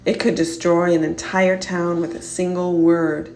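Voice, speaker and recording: A woman's voice: lowish voice, flat delivery, unhurried, a little mellow. A woman reading scripted children's story narration aloud. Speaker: storybook reader. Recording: music behind the voice. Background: music.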